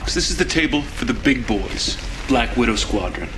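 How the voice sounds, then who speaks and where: A man's voice: medium pitch, expressive, normal speed, over music, natural voice. A man playing a cocky, boastful fighter pilot in a video game cutscene, theatrical and mocking in his delivery. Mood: sneering contempt. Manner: boastful, mocking, theatrical storytelling with shouted sound effects.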